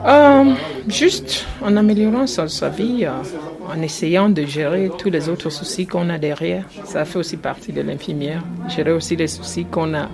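A woman's voice: on the low side, normal speed, gravelly, a crowd in the background. A woman nurse at a community health centre, explaining health advice in an interview, with hesitant fillers. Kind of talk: street interview answers. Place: indoor open-house event.

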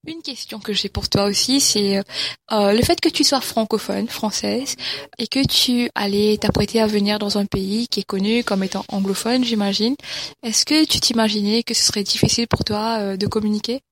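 A woman conducting an interview, with a voice at a middle pitch. She asks her questions in a polite, measured way. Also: fairly even intonation.

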